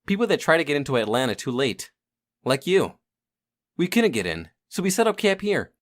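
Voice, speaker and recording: A man's voice: fairly high pitch, very animated, brisk. A man playing a streetwise young survivor who guides a newcomer in a dubbed comic drama. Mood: grave, explaining.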